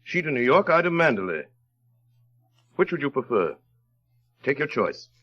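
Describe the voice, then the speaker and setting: Brusque, sardonic gentleman, vintage radio. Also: medium pitch, very animated, moderate pace, slightly rough. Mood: brusque, dry. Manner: clipped, imperious, dryly teasing. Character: brusque, sardonic, well-to-do gentleman proposing marriage. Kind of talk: 1930s radio drama acting. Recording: dull, lo-fi vintage radio recording.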